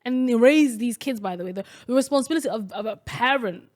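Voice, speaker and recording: A woman's voice: fairly high pitch, fast talker. A young woman from Somalia co-hosting a casual podcast chat, talking in a chatty way with many fillers and restarts. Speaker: podcast co-host.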